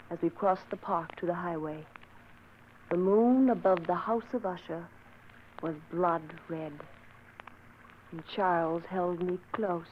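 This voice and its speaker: A woman's voice: on the low side, lightly acted. An actress playing a frightened young woman who narrates, breathless, pleading and melodramatic. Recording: tinny hissy old radio recording.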